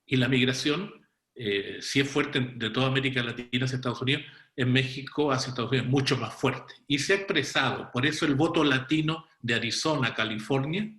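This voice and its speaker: A man's voice: medium pitch, a bit bright. Man, panelist, political analysis, explanatory, self-correcting, echoey room.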